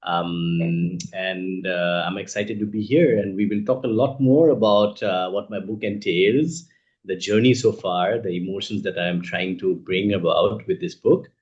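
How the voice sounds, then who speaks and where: A man from northeast India with an Indian accent, giving reflective, thoughtful interview answers as an author, measured and pausing mid-sentence. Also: low-pitched, a little mellow. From Assam, northeast India. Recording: echoey room, dull muffled sound.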